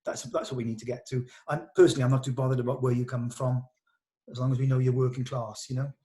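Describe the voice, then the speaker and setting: A man's voice: medium pitch, little pitch movement, quick pace, warm tone. A man, speaking as a director in an informal rehearsal, in a hesitant, thoughtful and encouraging way. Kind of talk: informal rehearsal discussion, some reading of stage directions.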